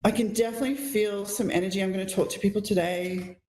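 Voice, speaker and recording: Croaky woman hosting psychic radio. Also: mid-range voice, steady tone, quick pace. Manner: gentle, spiritual, flowing talk. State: croaky from illness. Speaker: psychic show host. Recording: echoey room.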